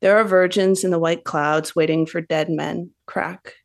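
A woman's voice: lowish voice, fairly even intonation, a little slow, gravelly. A woman, a writer speaking thoughtfully as a guest. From Canada. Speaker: poet and novelist guest. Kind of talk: thoughtful interview talk and poetry reading.

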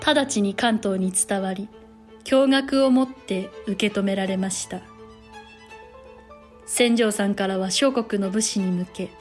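An actress plays a dignified samurai-era noblewoman telling history. Her delivery is slow, formal and courteous, at a medium pitch, with soft music underneath. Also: close to an everyday voice. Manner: courteous, formal, measured storytelling. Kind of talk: scripted narration in historical audio drama.

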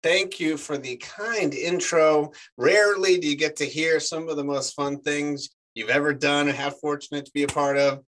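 Male guest, 40s, native Spanish speaker, lively storyteller, slightly higher voice. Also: normal speed, few pauses. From United States. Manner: animated storyteller, many fillers. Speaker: actor guest. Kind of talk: casual chat.

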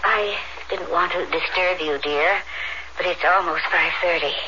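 A woman's voice: on the low side, unhurried, lightly acted. An actress playing a worried, caring mother of a grown daughter in a radio drama, hesitant and solicitous. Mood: gentle concern. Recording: muffled, noisy old radio broadcast.

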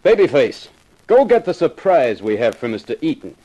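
A man's voice: medium pitch, sing-song, moderate pace, warm tone, highs cut off. A man acting a menacing, impatient gangster boss with a bossy, taunting manner in 1950s radio crime drama. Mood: threatening.

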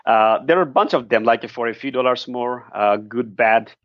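A man's voice: medium pitch, moderate pace, no room sound. A middle-aged man from Bangladesh, telling his story earnestly as an interview guest. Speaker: guest, nonprofit founder. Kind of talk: interview answers. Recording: dry, slightly muffled lo-fi sound.

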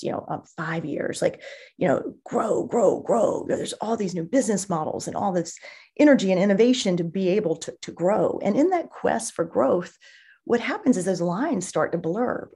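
A woman's voice: fairly low pitch, creaky, dark tone, husky. A woman speaking as an interview guest, explaining things conversationally and enthusiastically, repeating words for emphasis. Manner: emphatic word repetition. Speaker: interview guest, marketing executive.

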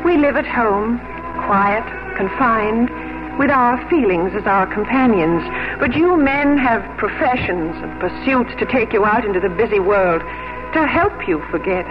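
An actress playing a gentle, earnest, reserved English gentlewoman in love in a 1950s radio drama. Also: a little high, expressive, measured pace. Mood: restrained, earnest. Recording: dull old radio, music behind.

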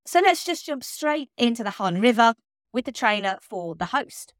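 High-voiced woman hosting a podcast. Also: very animated, normal speed. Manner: chatty and enthusiastic. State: recovering from laryngitis, slightly hoarse. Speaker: podcast host. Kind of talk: solo podcast monologue.

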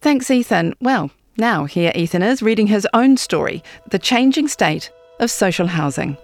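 A woman's voice: medium pitch, lively intonation, normal speed, soft background music. A woman with a New Zealand accent, presenting and interviewing in a conversational style.

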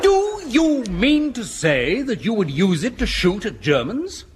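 An actor playing a stuffy, irritable bank inspector in a radio sitcom, officious and indignant. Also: male voice, high voice, huge pitch swings, unhurried, exaggerated voice. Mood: disapproving. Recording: slightly noisy old radio recording.

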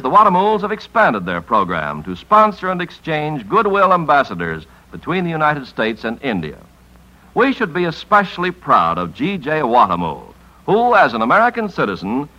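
A male 1950s radio announcer with a bright, forceful voice and hugely animated intonation. His scripted delivery is formal and emphatic, pitched a little high. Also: poor audio.

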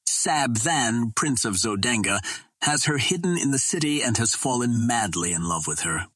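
A man's voice: mid-range voice, lively intonation, normal speed, a bit bright, no room sound. A man voicing a loyal, earnest Martian warrior in an audiobook. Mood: grave, earnest. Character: loyal Martian warrior, male, brisk and earnest, working undercover. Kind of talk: audiobook character dialogue.

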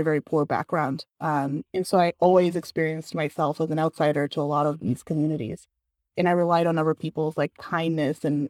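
A woman's voice: deep voice, a little mellow, dry recording. A woman from Bulgaria, a guest in an interview, talking earnestly in a casual way with lots of "like". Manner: earnest, many fillers like "like". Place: San Francisco.

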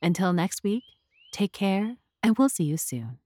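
A woman's voice: medium pitch, sing-song, a little slow, mellow, dry recording. A woman narrating and hosting a story podcast in a scripted style. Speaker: narrator and host.

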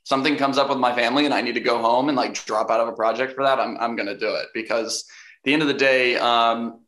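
Young man, deep voice, bright tone, hesitant, roomy echo. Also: steady tone, fast talker. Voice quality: fairly deep voice. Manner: hesitant, many restarts and fillers, laughs easily. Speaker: actor being interviewed. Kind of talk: casual interview answers.

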